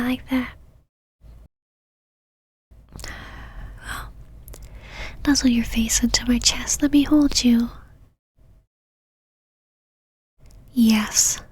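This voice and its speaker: A woman voicing a possessive, secretly tender vampire knight in an intimate ASMR roleplay, whispering softly in a haughty yet halting, easily flustered way. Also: a little high. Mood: grudgingly affectionate. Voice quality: breathy, soft whisper.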